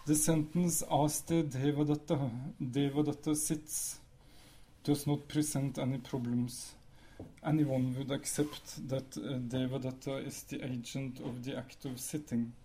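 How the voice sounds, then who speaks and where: A man whose first language is Norwegian, giving a measured academic lecture with pauses and occasional fillers. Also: mid-range voice, little pitch movement, warm tone, rough recording.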